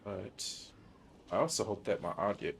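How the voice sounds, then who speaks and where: Low-voiced man chatting on a game stream. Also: lively intonation, normal speed, vocal fry, a bit bright, slight background noise. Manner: rambling, thinking aloud, informal slang. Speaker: game livestreamer. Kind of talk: casual livestream chat while playing. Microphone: streaming mic with noise suppression. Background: game music.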